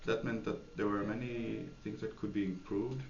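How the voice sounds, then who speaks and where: A man's voice: on the low side, level tone, normal speed. A man from Sweden, answering interview questions hesitantly, with many fillers and restarts. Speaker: software developer, interviewee. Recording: echoey room, dull lo-fi sound, faint noise.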